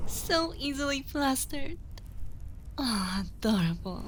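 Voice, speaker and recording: An evil queen acted by a woman speaks with extremely slow, deliberate control in a soft, breathy near-whisper. She is motherly yet possessive and seductive, choosing formal words in an intimate ASMR roleplay. Her mid-pitched voice sits over faint background noise. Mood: teasing.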